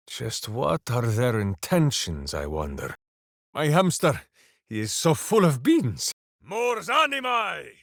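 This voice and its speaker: A man's voice: on the high side, gravelly, fairly bright tone, no echo, studio quality, exaggerated voice. A man playing a dim, big-hearted warrior hero in a video game, boisterous and theatrical, speaking of himself in the third person. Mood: heroic, boisterous. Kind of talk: video game character voice lines.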